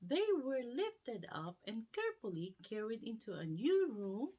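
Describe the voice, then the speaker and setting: A woman reading a children's bedtime story aloud in an unhurried, expressive storyteller's manner. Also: fairly high pitch, husky.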